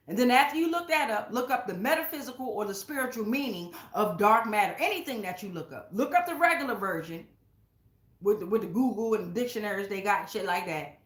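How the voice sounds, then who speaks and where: A woman's voice: medium pitch, animated, a little fast, reverberant. A woman giving a casual spiritual tarot reading, speaking straight to her viewers in an emphatic, blunt, sweary way. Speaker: tarot reader, channel host. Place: indoors at home.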